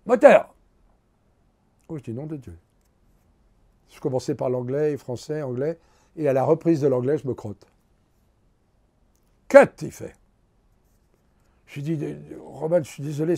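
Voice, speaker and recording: An older man, a veteran actor, telling animated anecdotes in a relaxed interview and acting out the lines of the people in his stories. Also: a little high, vocal fry, slightly rough. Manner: animated anecdotes, acts out quotes. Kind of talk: casual storytelling interview.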